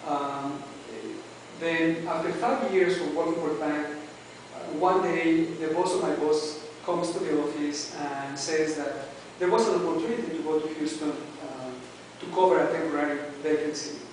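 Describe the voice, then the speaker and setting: A man with a Mexican accent, his first language Spanish, gives a halting prepared speech to a club, pausing often on fillers. His voice sits a little high for a man. He is recorded from across a very echoey meeting room, with a faint hiss underneath. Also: far from the mic. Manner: hesitant, many "uh"s and restarts. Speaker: club member giving a speech.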